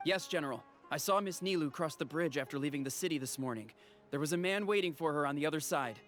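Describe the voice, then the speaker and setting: A man's voice: a little high, fast, a bit bright, faint music behind, close to an everyday voice. A man playing a dutiful, respectful soldier reporting to a superior in a video game. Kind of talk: video game character voice acting.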